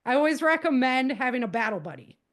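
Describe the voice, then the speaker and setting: Female interview guest, slightly high voice, chatty and animated explainer. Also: fast talker. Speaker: guest author giving safety advice. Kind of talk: casual, conversational podcast interview.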